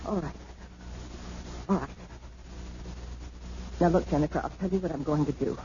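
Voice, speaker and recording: An actress playing a weary, exasperated sister in a radio mystery, firm and placating. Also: female voice, low-pitched, moderate pace, warm tone, natural voice. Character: exasperated, weary sister soothing a hysterical woman. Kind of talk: old-time radio mystery acting. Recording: muffled, noisy 1970s radio drama recording.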